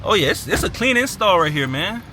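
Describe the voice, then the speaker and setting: A man's voice: sits high, very animated, brisk, noisy background. A man chatting casually to viewers as a vlogger, in a laid-back, slangy way with short repeated phrases. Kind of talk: casual car vlog chat.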